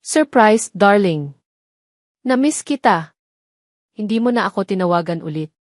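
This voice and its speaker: A woman's voice: mid-range voice, lively intonation, unhurried, dry recording, studio quality, natural voice. A woman voicing a falsely sweet, possessive rich young woman in an audio drama, mixing Tagalog and English. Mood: sugary. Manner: sugary, code-switching between Tagalog and English.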